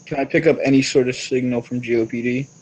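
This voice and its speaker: A man's voice: mid-range voice, fairly even intonation, fast, a little mellow, breathy edge, poor audio. A man playing a tabletop game over a voice call, chatting casually in slangy, laid-back wording. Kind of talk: casual chat while playing. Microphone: online voice call.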